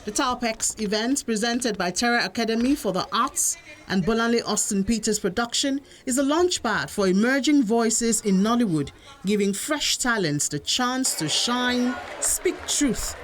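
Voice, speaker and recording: A woman's voice: fairly high pitch, animated, moderate pace, a little hiss or hum. A woman with a Nigerian accent reading scripted narration as a news reporter.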